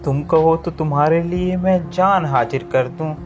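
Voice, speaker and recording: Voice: medium pitch, unhurried, faint music behind, lightly acted. Narrator as a brave male sparrow, reassuring, earnest, cartoon.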